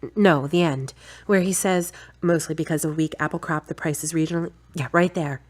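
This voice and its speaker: A woman's voice: low-pitched, fairly even intonation, fairly quick. A woman playing a busy, plain-spoken working woman in an amateur audio drama. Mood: businesslike.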